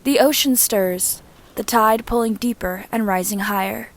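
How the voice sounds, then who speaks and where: Woman narrating a story at middle pitch. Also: unhurried. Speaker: reader and narrator of fan fiction. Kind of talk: scripted storybook narration, audiobook reading.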